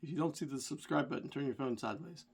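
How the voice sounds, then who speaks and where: Middle-aged rideshare driver, stuffy from a cold, casual rambling storyteller with a self-deprecating streak. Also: male voice, fairly high pitch, fast, gravelly, slightly rough. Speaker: rideshare driver and channel host.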